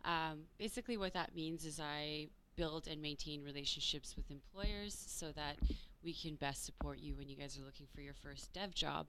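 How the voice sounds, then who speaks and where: Woman from Winnipeg, employer relations manager, very low pitch, faint noise behind. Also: moderate pace, a bit bright, poor audio. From Winnipeg, Canada. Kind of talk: casual staff introduction.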